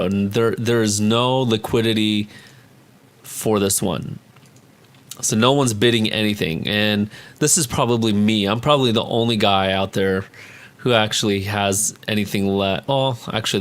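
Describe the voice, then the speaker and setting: A man's voice: on the low side, fairly bright tone. Chatty man talking through his trades. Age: adult with a child. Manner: chatty, self-correcting, thinks aloud with numbers. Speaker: trading vlogger. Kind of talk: casual screen-share talk on options trades.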